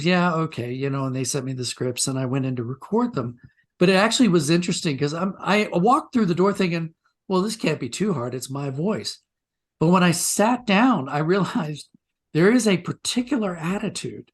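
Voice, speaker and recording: An older man with an American accent telling anecdotes conversationally as an interview guest, reflective and self-deprecating. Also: a little high.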